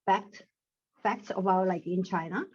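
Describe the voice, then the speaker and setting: A young woman from South Korea with a Korean accent, speaking English haltingly as a guest author in a casual interview. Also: medium pitch, fairly even intonation, a little mellow, slightly rough. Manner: halting, searching for words, laughs often. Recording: dull lo-fi sound.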